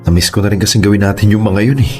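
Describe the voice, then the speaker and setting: An actor playing a grateful, affectionate boyfriend in a radio drama, sweet and quick to laugh. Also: male voice, on the low side, steady tone, a little mellow, soft background music, lightly acted.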